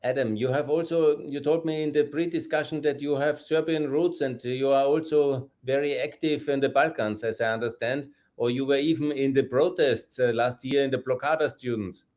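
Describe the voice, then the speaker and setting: A man's voice: mid-range voice, fairly even intonation, moderate pace, hardly any pauses, a little mellow. A man hosting a political interview podcast, emphatic and chuckling between points. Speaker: podcast host.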